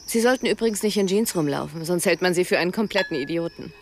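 A woman's voice: on the low side, quick pace, a little hiss or hum, close to an everyday voice. An actress playing a self-assured, seasoned woman traveller in a radio drama, blunt, cool and knowing. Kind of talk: German radio drama acting.

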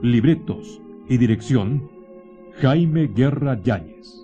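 A man giving dramatic scripted radio narration in a solemn, deliberate manner. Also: mid-range voice, lively intonation, dark tone, lo-fi sound, faint music behind.

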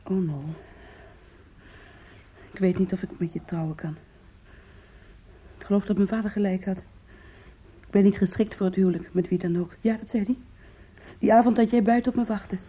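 An actress playing an anguished young woman in a Dutch radio play, confiding in her lover with halting, intimate emotion. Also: mid-range voice, mellow, breathy edge, lightly acted. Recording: dull, muffled old radio recording.

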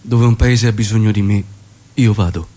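An actor playing a resolute revolutionary doctor and loving husband in theatrical radio drama dialogue. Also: male voice, on the low side, normal speed, warm tone, natural voice.

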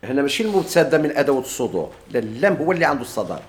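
A man teaching Arabic grammar in a Moroccan accent. He quizzes his students, repeats their answers back to them, and drags out the quoted examples slowly. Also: medium pitch, sing-song. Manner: drawls quoted examples slowly, quick plain explanations. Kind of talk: classroom lesson, questioning students.

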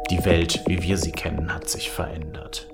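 Male intro announcer, very deep and level, dramatic scripted delivery, heavy electronic music bed. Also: level tone, moderate pace, creaky, in a whisper. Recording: loud electronic music behind voice. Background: throbbing electronic music.

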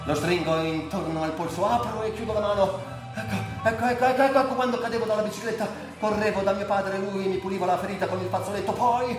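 A man's voice: sits high, fairly even intonation, fast, natural voice. Man acting a tormented, delirious addict, drenched in echo. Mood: haunted. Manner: histrionic, swinging between brooding narration and cries. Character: tormented delirious male addict, raving between life and death. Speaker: actor and director. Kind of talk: theatrical radio monologue from a novel. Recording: very echoey, distant, faint music behind.

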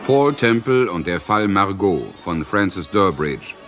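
A man's voice: low voice, sing-song, measured pace, fairly bright tone. A man announcing the cast of a radio play in a formal, old-fashioned style. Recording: old radio recording, music behind.

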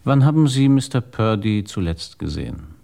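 A man's voice: lowish voice, big pitch swings, normal speed, gravelly, dark tone, no room sound. An actor playing a seasoned, sardonic male police inspector in a German radio crime drama. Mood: questioning. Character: seasoned, dry-witted male New York police inspector.

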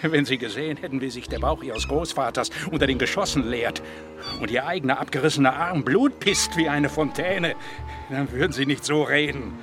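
A man playing a gruff, distrustful older war veteran in a crime radio drama, brusque and scolding. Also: medium pitch, brisk, over music, close to an everyday voice. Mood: indignant, scolding. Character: gruff, distrustful one-armed male war-veteran neighbour.

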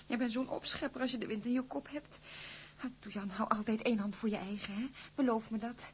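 An actress playing a young sailor's wife who is worried and sharp-tongued, in a radio play. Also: female voice, on the high side, fairly even intonation, brisk, slight background noise, lightly acted. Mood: pleading. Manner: pleading and scolding, emotional.

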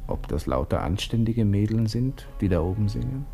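An actor playing a brash, bored young Viennese army lieutenant, musing snappishly in a radio drama interior monologue. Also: male voice, lowish voice, animated, normal speed, creaky, dark tone. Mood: reminiscing. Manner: musing, snappish, self-important. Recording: music behind, 1960s radio studio recording.

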